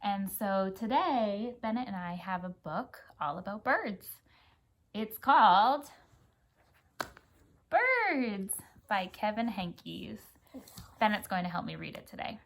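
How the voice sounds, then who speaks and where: An American woman reading a picture book aloud for children and chatting gently, often prompting a young child with questions. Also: medium pitch, animated, slow pace, long pauses. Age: adult. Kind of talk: children's story read-aloud with gentle chat.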